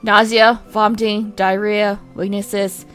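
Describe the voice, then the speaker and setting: A woman's voice: medium pitch, flat delivery, slow pace, fairly bright tone, smooth, soft background music. A woman voicing a proud, prim male twin in an amateur comic dub, haughty and theatrical but grudgingly kind. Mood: stiff, haughty.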